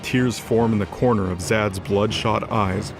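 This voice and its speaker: A man's voice: fairly low pitch, steady tone, measured pace. A man narrating a scripted fantasy audio drama in a dramatic storytelling style. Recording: loud music under the voice.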